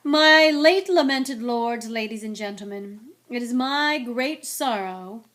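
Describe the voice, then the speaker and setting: A woman voicing a proud, formal, easily wounded gentleman ghost in a storybook reading. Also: fairly high pitch, slow. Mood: loud, pompous. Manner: formal, stiff, bitter.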